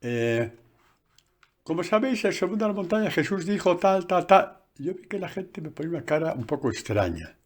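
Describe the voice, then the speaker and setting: A man, a priest, giving a conversational catechesis sermon recorded on a phone, animated and full of asides. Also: fairly high pitch, normal speed.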